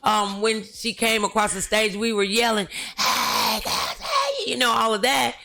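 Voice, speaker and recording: A middle-aged woman, hosting a casual interview in a halting, teasing manner. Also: on the high side, little pitch movement, gravelly, pushed and bright. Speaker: talk show host, relative of the guest. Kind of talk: casual interview chat, storytelling.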